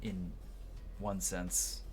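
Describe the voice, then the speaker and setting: A man's voice: low-pitched, little pitch movement, extremely slow, a little mellow. A man giving casual, informal commentary while playing through a video game. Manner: informal, slangy. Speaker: let's player voicing a game's dialogue. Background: game music.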